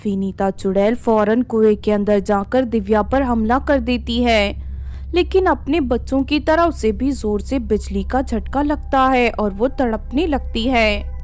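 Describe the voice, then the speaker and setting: A woman narrates a scripted story. Her voice sits fairly high as she tells the tale as its storyteller. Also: measured pace, darkish tone.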